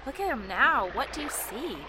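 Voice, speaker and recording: A woman's voice: high-pitched, sing-song, moderate pace, background noise. A woman playing a dead wife of forty returned as a memory in an audio drama, nostalgic and reproachful, with pointed questioning. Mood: nostalgic, matter-of-fact.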